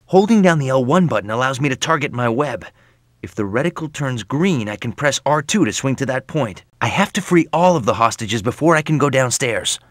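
An actor playing a wisecracking, earnest young superhero in a video game, with a boyish, jokey and exclamatory delivery. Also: male voice, mid-range voice, no room sound, studio quality, lightly acted. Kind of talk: animated video game voice acting, quips and tutorial hints.

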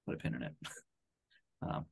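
A man's voice: moderate pace, a little mellow. A tired man in his thirties chatting casually on a podcast in a rambling, self-deprecating way. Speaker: podcast co-host. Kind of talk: casual chat. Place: home room, video call. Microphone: headset.

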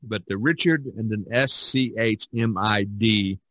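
A man hosting a podcast in casual, halting talk, spelling things out as he goes. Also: lowish voice, fairly bright tone, no echo. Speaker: podcast host. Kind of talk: casual chat and sign-off.

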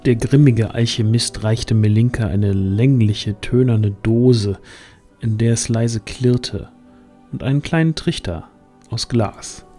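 A man's voice: mid-range voice, slow pace, dark tone, faint music behind. A man narrating a scripted fantasy audio drama.

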